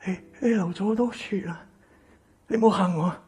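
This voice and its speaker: Man acting husky, bitter Cantonese son in radio drama. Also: sits high, moderate pace. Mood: shocked, frightened. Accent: Hong Kong Cantonese. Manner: emotional, stammering, swinging from shouts to whispers. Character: bitter, exploited grown son turned coldly vengeful. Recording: music behind the voice.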